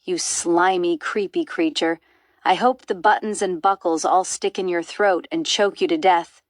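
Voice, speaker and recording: A woman's voice: fairly low pitch, fairly even intonation, unhurried, dry recording, clean studio sound, lightly acted. A woman voicing a friendly, naive monkey in a storybook, earnest and easily horrified.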